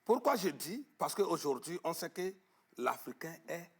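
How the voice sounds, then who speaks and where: A man speaks as a guest in a television studio interview, leaning hard on his points and stalling on fillers before a word comes. His voice is husky with a gravelly roughness. His pitch is fairly high and swings widely as he presses each point. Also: big pitch swings, measured pace. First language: Arabic-educated, French not first. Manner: emphatic, hesitant fillers, repeats words. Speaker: guest on traditional knowledge. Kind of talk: studio interview, emphatic explaining.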